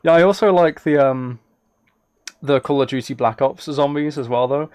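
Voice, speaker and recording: A man's voice: mid-range voice, normal speed, a little mellow, clean tone, dry recording. A man chatting casually as a live streamer, thinking aloud while he plays a game. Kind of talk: casual gaming livestream chat.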